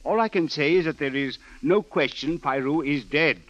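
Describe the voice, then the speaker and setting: Male police captain, acted, vintage radio drama: official, exact, firm, voice slightly raised in pitch, dull recording. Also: normal speed, no room sound, natural voice. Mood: grave, firm. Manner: precise, clipped, emphatic officialese. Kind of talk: 1940s radio drama acting.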